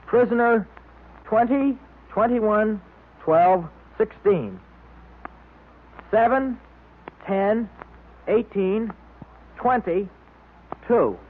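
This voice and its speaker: Eager boy, high husky voice, deliberate delivery, 1930s radio club, tinny recording. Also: animated, heavily acted. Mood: earnest, eager. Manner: deliberate, reads out codes word by word. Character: eager, earnest boy leading a detective club.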